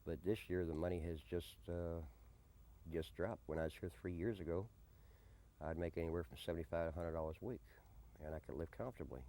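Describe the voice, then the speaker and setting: A man being interviewed in a conversational documentary, speaking deliberately and often repeating a question back before answering. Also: low voice, warm tone. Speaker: interviewee, desert camp resident and odd-job handyman. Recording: noisy early-90s amateur documentary tape.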